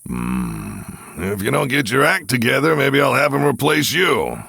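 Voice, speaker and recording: An actor playing a gruff middle-aged male sports coach in an audio drama, gravelly, stern but teasing. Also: medium pitch, wildly swooping pitch, unhurried, bright, forceful tone, no echo, studio quality, heavily acted. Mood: stern, warning.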